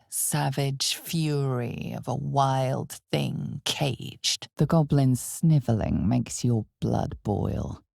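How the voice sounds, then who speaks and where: A woman playing an ominous, all-knowing narrator of a dark fantasy video game, speaking in a measured, dramatic way with hushed intensity. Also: very deep voice, gravelly, slightly rough, no echo, studio quality, full character voice. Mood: menacing. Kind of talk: scripted second-person fantasy game narration.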